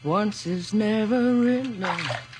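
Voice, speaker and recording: An actress playing a faithless wife in a horror radio drama, with melodramatic delivery. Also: female voice, medium pitch, expressive, takes it slowly, background noise. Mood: sultry. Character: faithless wife, sensual then terrified. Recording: vintage radio drama, loud music behind.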